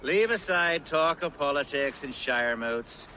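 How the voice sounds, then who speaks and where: Showy, animated Saxon lord. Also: male voice, a little high, a little slow, a little hiss or hum, poor audio. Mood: effusive, ingratiating. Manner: effusive, flowery, theatrical. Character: genial, showy, ingratiating wealthy Saxon lord. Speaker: actor in a video game cutscene. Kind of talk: video game drama acting.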